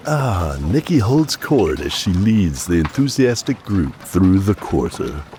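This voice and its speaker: Very deep, theatrical horror narrator. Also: male voice, very animated, measured pace, noisy background. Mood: sly, theatrical. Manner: wry, theatrical, savouring his words. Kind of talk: scripted audio drama narration.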